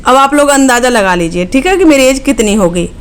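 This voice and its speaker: A woman's voice: high voice, expressive, a little fast, clean tone. Chatty woman vlogger, late twenties. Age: late 20s. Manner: chatty, direct, frequent "okay" check-ins. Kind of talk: casual chat to viewers.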